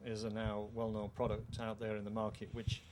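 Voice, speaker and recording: A middle-aged British man presents technical details in a measured, formal way. His voice sits a little low. He speaks into a handheld mic with some room noise around him. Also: monotone, darkish tone. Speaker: company co-founder presenting a product. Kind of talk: technical product presentation in an interview. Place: indoor trade show room. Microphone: handheld microphone. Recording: clear background noise, show-room ambience.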